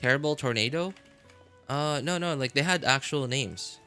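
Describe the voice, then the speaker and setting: A man's voice: mid-range voice, moderate pace, pushed and bright, clear, resonant tone, faint music behind. A man streaming live, chatting casually and rambling, with many fillers and self-corrections as he answers his chat.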